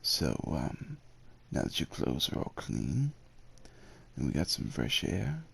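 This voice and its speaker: A devoted werewolf suitor, acted by a man, speaks in an extremely low, husky voice that swoops widely in pitch. He is tender and a little nervous, with courtly old-fashioned phrasing, as in an intimate ASMR roleplay. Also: huge pitch swings, slow pace, a bit bright, full character voice. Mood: warm, hopeful. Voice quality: gravelly, husky, very deep. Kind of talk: ASMR roleplay, acted monologue addressed to the listener.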